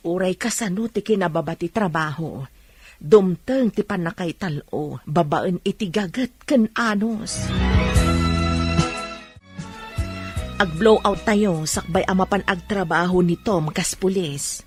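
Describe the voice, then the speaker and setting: A woman's voice: on the low side, unhurried, darkish tone, soft background music, lightly acted. A woman playing a kindly, patient mother who gives earnest advice in a radio drama. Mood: earnest, encouraging. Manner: earnest, advising. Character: kindly, patient, moralizing mother of a poor family.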